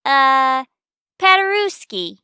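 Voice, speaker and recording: A woman playing a brash, sassy tavern owner's daughter in an old-time radio comedy, firing back quick comebacks. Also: high-pitched, very animated, sharp tone, no room sound, studio quality, full character voice.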